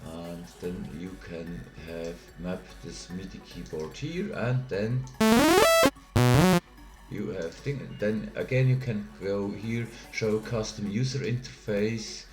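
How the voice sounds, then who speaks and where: A man with a Swiss German accent giving a software tutorial, halting and hesitant, with many fillers. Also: deep voice, roomy sound. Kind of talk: screen-recorded software tutorial.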